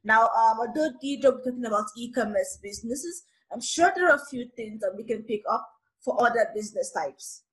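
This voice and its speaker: Woman, host, slightly high voice, playful, teasing, casual. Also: brisk, fairly bright tone, poor audio. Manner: playful, teasing, many fillers. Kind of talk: casual webinar interview.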